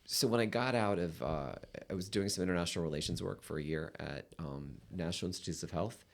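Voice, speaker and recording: Podcast guest: gravelly, low-voiced man in his fifties, chatty storyteller. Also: fast talker. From United States. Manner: rambling storytelling with fillers. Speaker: podcast guest, real estate attorney. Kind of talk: casual interview chat.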